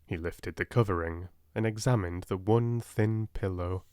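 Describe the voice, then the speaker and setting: A British man narrates an audiobook in a soft-spoken way. His voice is on the low side, and the recording is dry, with little room around it. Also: unhurried, clean tone, no echo. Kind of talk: soft-spoken storybook reading.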